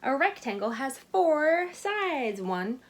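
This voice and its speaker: A woman voicing a patient kindergarten teacher in a storybook, speaking in a deliberate, instructive way. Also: sits high, sing-song, voice pushed up, heavily acted.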